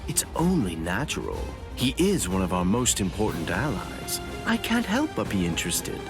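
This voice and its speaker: A man's voice: low-pitched, huge pitch swings, moderate pace, heavily acted. An actor playing a sly, playful man in an anime game, teasing and drawling with mock innocence and theatrical curiosity. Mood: sly musing. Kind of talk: anime video game voice acting. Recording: music behind. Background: background music.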